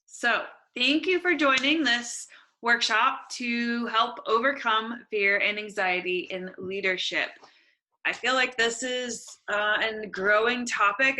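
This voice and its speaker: A woman hosting an online workshop over a video call, chatty and relaxed with casual fillers. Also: medium pitch. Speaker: workshop host.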